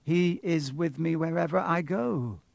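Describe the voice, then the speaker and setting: A man narrating a storybook, voicing a cold, gloating male villain professor with a sharp, mocking, unhurried delivery. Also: a little high, vocal fry, no room sound, voice pushed up. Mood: fervent, submissive.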